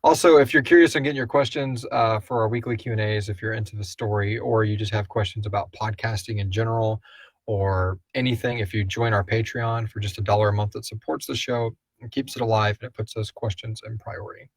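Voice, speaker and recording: A man's voice: lowish voice, steady tone, a little fast, slightly rough, no room sound. A man hosting a podcast, chatting casually and thinking aloud as he answers listener questions. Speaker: podcast host and creator. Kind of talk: casual live Q&A chat.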